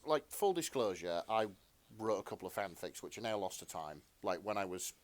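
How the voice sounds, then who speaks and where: A man's voice: lowish voice, animated, brisk, a crowd in the background. Rambling man in casual podcast chat. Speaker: podcast co-host.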